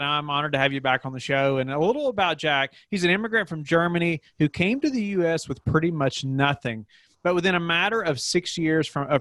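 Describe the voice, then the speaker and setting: A man's voice: fairly high pitch, fairly bright tone, no room sound. A man hosting a podcast, asking rambling questions with many fillers and restarts. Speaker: podcast host. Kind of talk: casual podcast interview.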